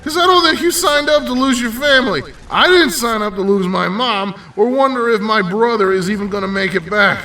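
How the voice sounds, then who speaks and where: A man's voice: sits high, brisk, few pauses, pushed and bright, distant mic, rough recording. A man playing an embittered young ranger, grieving and worn down by war, in an intense audio drama. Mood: frustrated, bitter.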